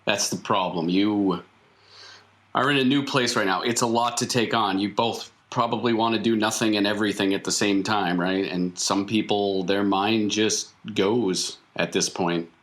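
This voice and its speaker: Low-voiced man running a tabletop game. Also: fairly even intonation, moderate pace, fairly bright tone. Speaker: game master. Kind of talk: improvised tabletop roleplay narration and in-character dialogue.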